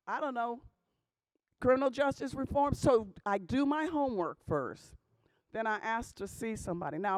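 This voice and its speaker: A woman's voice: sits high, no room sound. A woman addressing a group of pastors in an emphatic talk, often checking in with "okay?" and asking rhetorical questions. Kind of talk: emphatic talk to a church audience.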